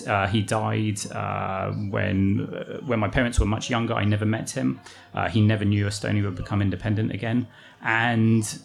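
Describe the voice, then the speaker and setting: A man's voice: fairly low pitch, vocal fry. A man with an English accent, a guest telling his family story in a chatty, rambling way. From England. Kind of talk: casual interview answers.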